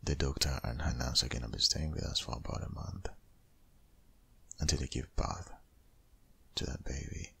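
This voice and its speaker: A man acts out a protective, worried boyfriend in an ASMR audio roleplay. His voice is extremely low and full of heavy creak, husky and breathy to the point of rasping. He pleads and insists up close, often slipping toward a whisper. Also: moderate pace, pauses often, bright, forceful tone, full character voice. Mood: firm, protective. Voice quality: very deep, gravelly, husky, often half-whispered.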